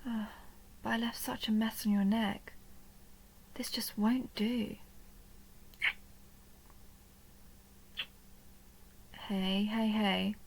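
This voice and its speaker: A woman playing a tender, possessive, lonely vampire countess in an intimate roleplay audio, speaking softly and breathily, often near a whisper, with a creaky edge. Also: medium pitch, fairly even intonation, slow, rough recording. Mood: teasing, doting. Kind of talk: intimate roleplay audio drama, addressed to the listener.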